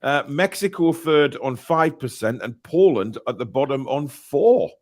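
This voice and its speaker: A man's voice: medium pitch, animated, measured pace, darkish tone. A man hosting a casual live football stream, chatty and hesitant, reading out chat messages and poll results. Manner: chatty, upbeat when reading chat and polls, hesitant fillers. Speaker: live-stream show host.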